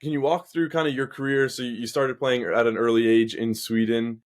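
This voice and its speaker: A man's voice: mid-range voice, little pitch movement, moderate pace. A man hosting a podcast, asking questions in a casual conversational interview style. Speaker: podcast host.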